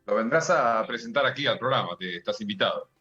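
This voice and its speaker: A man's voice: on the low side, animated, quick pace, sharp tone. A man with an Argentine accent hosting a radio interview, with brief affirming interjections. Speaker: radio host. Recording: dull lo-fi sound with faint music.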